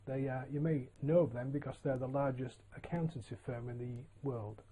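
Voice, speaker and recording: An older man from Yorkshire telling his own career story in a relaxed, plain-spoken way, with frequent ums and uhs. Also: mid-range voice, moderate pace, a little hiss or hum, low-quality recording. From Yorkshire, England. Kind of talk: casual autobiographical monologue.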